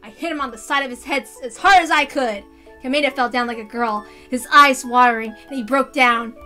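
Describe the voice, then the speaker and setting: A woman narrating a visual novel aloud in an expressive, theatrical way. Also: high-pitched, a little fast, soft background music. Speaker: reader and narrator.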